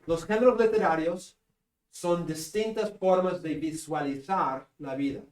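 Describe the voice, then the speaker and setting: A man with a northern Peruvian Spanish accent giving a seminary class lecture, joking with students and pausing on fillers. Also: a little high, a little mellow. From Peru. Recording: echoey classroom from a distance.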